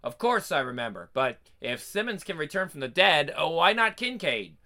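A man's voice: on the high side, sing-song, normal speed, pushed and bright, full character voice. A man voicing a level-headed, polite detective sidekick, formal and deferential, in a comic book reading. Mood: earnest, insistent.

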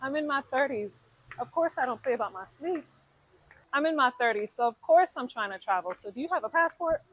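A woman's voice: high-pitched, animated, a little fast, a bit bright, rough recording. A self-assured woman in her thirties, talking in a sassy social media skit. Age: 30s.

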